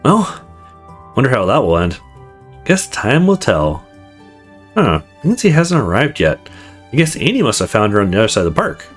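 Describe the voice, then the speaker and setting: Male gaming host, hugely varied pitch, expressive visual novel read-aloud, music underneath. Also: moderate pace, long pauses. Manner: acts out dialogue expressively. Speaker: let's play host.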